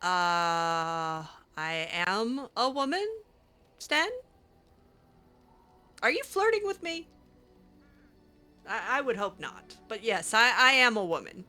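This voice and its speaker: A woman's voice: medium pitch, very animated, slow, long pauses, bright, forceful tone, soft background music. A woman hosting a game roleplay, talking back to characters with sarcastic, hesitant remarks and laughs. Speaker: roleplay game player and channel host.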